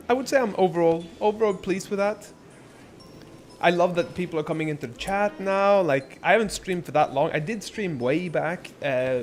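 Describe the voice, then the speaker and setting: A man's voice: on the high side, slight background noise. Rambling Norwegian-born streamer man. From Norway. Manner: rambling, laid-back, lots of filler and swearing. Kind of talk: casual live-stream chat.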